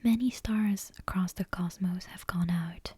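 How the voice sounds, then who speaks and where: A woman voicing a solemn, world-weary wandering friend from a storybook in a quiet, breathy whisper. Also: on the low side, fairly even intonation, unhurried, no echo. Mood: solemn resignation.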